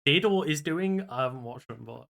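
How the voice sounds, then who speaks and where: A man's voice: on the high side, expressive, normal speed, vocal fry, fairly bright tone. A man talking casually as the co-host of a podcast, in loose conversational speech.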